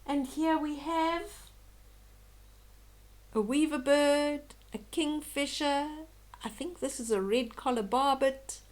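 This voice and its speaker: An older woman reading a children's bedtime story aloud in a gentle, expressive, dramatic way. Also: high voice. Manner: slow, gentle, dramatic, with hushed whispery moments.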